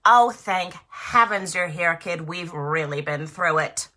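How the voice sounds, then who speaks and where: A woman's voice: fairly low pitch, slow, pushed and bright. A woman voicing a small, fed-up, talkative crab from a children's picture book, complaining emphatically. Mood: exasperated relief.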